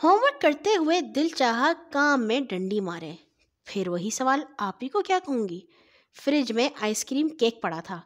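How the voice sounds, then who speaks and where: High-voiced woman telling children's stories. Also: very animated. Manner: deliberate, phrase-by-phrase delivery. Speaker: children's storyteller. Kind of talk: scripted narration for children.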